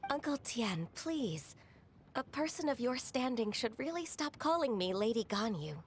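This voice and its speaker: An actress playing a polite, soft-spoken secretary in a video game, gentle and slightly hesitant. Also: female voice, a little high, sing-song, moderate pace, a little hiss or hum. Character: gentle, dutiful half-divine secretary woman.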